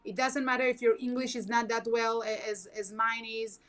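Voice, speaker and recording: A woman whose first language is Hebrew, speaking earnestly on a casual video chat in halting non-native English. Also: a little high, little pitch movement, fairly bright tone. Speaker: guest.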